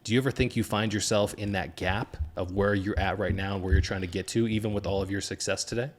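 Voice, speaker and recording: Low-voiced man hosting a reflective podcast. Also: fairly even intonation, fast talker. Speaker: podcast host. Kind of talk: reflective long-form interview questions.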